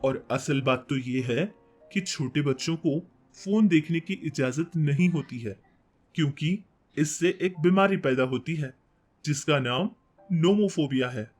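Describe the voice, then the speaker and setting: A principled storybook father, played by a man, husky and somewhat high for a male voice. He gives patient, instructive advice to his child over faint music. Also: unhurried, a little mellow. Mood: patient, advising. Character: principled, thrifty engineer father advising his son.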